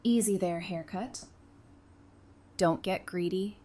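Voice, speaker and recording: A woman's voice: mid-range voice, takes it slowly, dark tone. An actress playing a sensible, affectionate, plain-spoken woman in an audio drama. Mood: teasing. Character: frank, teasing, sensible woman, affectionate with her sweetheart.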